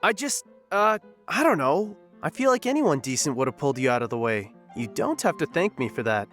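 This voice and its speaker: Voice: medium pitch, big pitch swings, fairly quick, a bit bright, soft background music. An actor playing an awkward, hesitant, good-natured young adventurer in an audio drama. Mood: bashful. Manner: awkward, unassuming.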